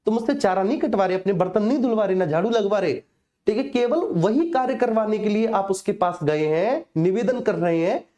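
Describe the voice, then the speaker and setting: Mellow-voiced man teaching informally. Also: high voice, a little fast. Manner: conversational, explains with hypothetical examples, repeated check-in tags. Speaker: teacher for police exam coaching. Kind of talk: informal exam lesson.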